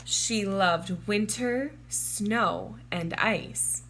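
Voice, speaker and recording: A woman reading a children's storybook aloud in a measured, deliberate and expressive way, drawing out words. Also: medium pitch, a little hiss or hum, low-quality recording. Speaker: children's storybook reader, teacher. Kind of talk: read-aloud storybook narration for young children.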